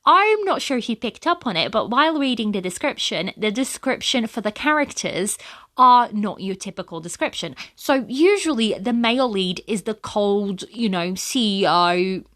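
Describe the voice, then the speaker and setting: A woman's voice: mid-range voice, animated, moderate pace, fairly bright tone. A woman hosting a podcast in a chatty, rambling way, her first language Portuguese, a bit sniffly from a cold. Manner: chatty and rambling, frequent fillers. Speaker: podcast host. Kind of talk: casual solo podcast chat.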